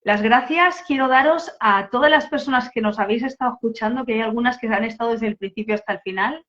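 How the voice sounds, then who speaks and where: A woman's voice: on the high side, quick pace, a bit bright. A woman with an accent from Spain hosting a casual interview, agreeable and a little hesitant. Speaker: interview host. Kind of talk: casual online interview chat. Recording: slightly dull, lo-fi sound.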